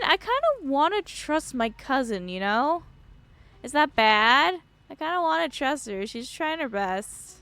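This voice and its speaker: A woman streams a visual novel with casual commentary. Her pitch is middling and moves around a lot. She talks in a chatty, hesitant way, working through her choices aloud. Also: pushed and bright. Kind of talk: casual game commentary mixed with reading dialogue aloud.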